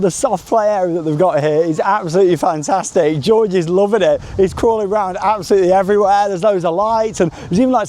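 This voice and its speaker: Higher-voiced enthusiastic man vlogging outdoors. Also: a little mellow, a little hiss or hum. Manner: chatty, enthusiastic, rambling commentary. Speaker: theme park vlogger and host. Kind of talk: casual vlog narration to camera. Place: outdoors at a theme park, walking around.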